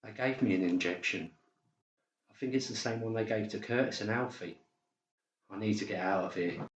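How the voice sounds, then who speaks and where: A man acts out a desperate male patient plotting his escape in an audiobook drama. The voice is fairly low, urgent and insistent. The recording sounds roomy and a little muffled. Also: normal speed, a little hiss or hum, close to an everyday voice. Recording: roomy, slightly dull sound.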